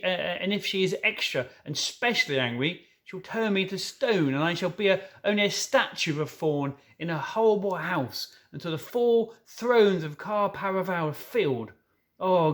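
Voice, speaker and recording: A man's voice: on the high side, moderate pace, fairly bright tone, close to an everyday voice. A man reading a storybook as an anxious, tearful male fawn who stammers and pleads. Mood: tearful dread. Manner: stammering, pleading, self-reproaching.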